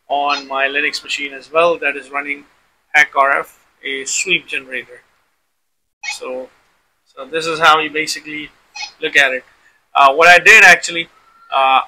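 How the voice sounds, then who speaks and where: A man with a very bright, sharp, slightly high voice walks through a software demonstration. He explains informally, step by step, circling back over his phrases. The recording has faint background noise. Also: poor audio. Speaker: tutorial host.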